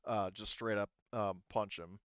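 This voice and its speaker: A man running a tabletop roleplaying game, talking casually through the rules and thinking aloud in a halting way. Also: on the low side, steady tone, a bit bright, clean tone, no room sound, muffled recording. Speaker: game master.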